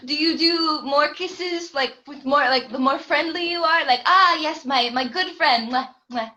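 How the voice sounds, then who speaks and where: A woman's voice: high-pitched, unhurried, sharp tone, lo-fi sound. A woman hosting a casual podcast lesson over a video call, bubbly and laughing often. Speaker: host and English teacher.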